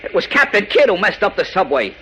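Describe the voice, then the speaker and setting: A man's voice: high voice, sing-song, brisk. An actor playing a shaken, incredulous male subway dispatcher in a 1940s radio drama, agitated and insistent. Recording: noisy old radio recording.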